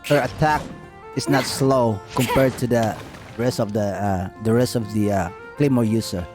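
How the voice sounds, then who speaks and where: A man casually commenting on a game he is playing, hesitant with many pauses and fillers. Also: mid-range voice, over music. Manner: hesitant, lots of ums and uhs. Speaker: gamer.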